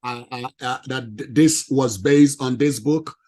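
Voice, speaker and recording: A man with a non-native English accent giving an animated, emphatic presentation to a professional audience. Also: medium pitch, unhurried. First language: not English. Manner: emphatic, lively, addresses ladies and gentlemen.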